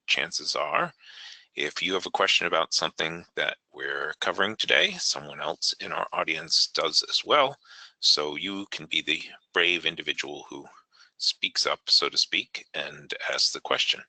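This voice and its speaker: A man hosting a software webinar, his voice gravelly and hoarse, with frequent ums and throat clearing. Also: very deep voice, dry recording, low-quality recording. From New England, United States. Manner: halting, many fillers, clears throat. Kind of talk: online software tutorial. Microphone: online meeting audio.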